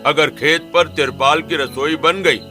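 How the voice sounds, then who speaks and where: A man's voice: fairly high pitch, steady tone, measured pace, sharp tone, faint music behind. A man voicing a kindly, thoughtful elderly father of grown sons in a cartoon story. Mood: approving. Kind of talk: Hindi cartoon story dialogue.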